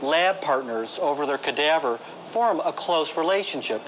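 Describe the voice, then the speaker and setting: A man's voice: fairly high pitch, animated, moderate pace, a bit bright, slight background noise, low-quality recording. A man explaining emphatically and demonstratively as an interviewed documentary expert.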